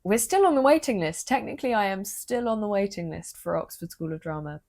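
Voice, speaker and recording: A young woman, vlogging earnestly into her phone with a low-energy voice, confiding and emotional. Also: animated, fairly quick, a little mellow. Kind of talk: personal vlog talking to camera.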